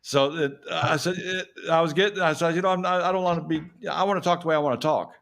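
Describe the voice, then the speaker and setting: A man's voice: on the high side, fast, creaky, fairly bright tone. An older man with a Texan accent, co-hosting a casual chat and telling stories with some stumbles. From Texas. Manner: storytelling, stumbles on repeated words. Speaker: co-host.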